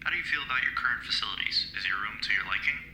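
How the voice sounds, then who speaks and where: A man playing a formal, unruffled facility interviewer in an audio drama, measured and coolly insistent. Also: mid-range voice, expressive, pushed and bright. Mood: cool, polite. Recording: roomy, faintly noisy recorded-interview sound.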